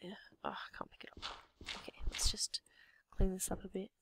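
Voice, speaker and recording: A young Australian woman with a slightly croaky voice, half-whispering to herself as she commentates her building game. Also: medium pitch, little pitch movement, a little fast. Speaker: let's play gamer. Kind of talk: casual gameplay commentary.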